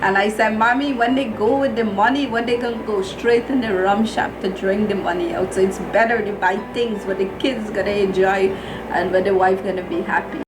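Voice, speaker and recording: A woman's voice: medium pitch, fairly even intonation, a little fast, noisy background. A middle-aged woman with a Guyanese accent tells her own story in plain-spoken, colloquial interview answers. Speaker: small business owner being interviewed. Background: music.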